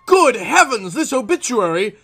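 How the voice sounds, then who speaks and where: Man acting a husky, melodramatic young husband. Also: extremely high pitch, big pitch swings, normal speed, sharp tone, soft background music, voice pushed up, exaggerated voice. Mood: shocked. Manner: earnest, melodramatic. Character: alarmed, protective young newlywed husband. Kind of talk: horror comic dramatization.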